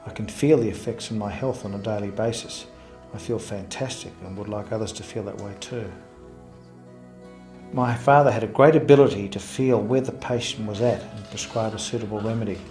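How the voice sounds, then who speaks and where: Lower-voiced man over music. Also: fairly quick, a little mellow. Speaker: homeopathy practitioner. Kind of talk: first-person promotional testimony.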